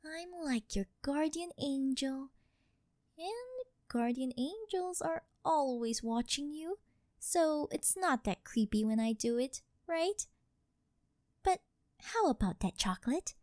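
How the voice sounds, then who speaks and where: Woman acting a high-pitched, coy, doting yandere girlfriend. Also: expressive, takes it slowly, mellow, no echo, studio quality. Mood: doting, coaxing. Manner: coy, cutesy, teasing, sweet-talking. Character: rich, possessive, doting older yandere girlfriend. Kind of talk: ASMR girlfriend roleplay.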